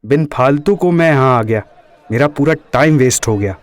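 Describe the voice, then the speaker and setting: A man's voice: mid-range voice, normal speed, natural voice. A man playing a tough, irritated young brawler hero in a comic audio drama.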